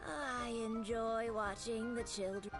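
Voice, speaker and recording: Slow-spoken elderly craftswoman. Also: fairly high pitch, fairly even intonation. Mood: proud, fond. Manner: unhurried, polite, reminiscing. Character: elderly female kite maker, courteous, proud artisan. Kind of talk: video game voice acting. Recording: music under the voice.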